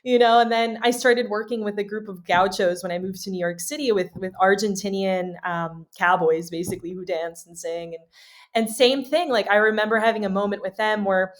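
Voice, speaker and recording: A woman from Miami tells stories as a podcast guest, lively and animated, often breaking into laughter. Her voice sits at an ordinary middle pitch and swings freely as she talks. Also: fairly quick. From Miami, USA. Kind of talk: casual storytelling chat.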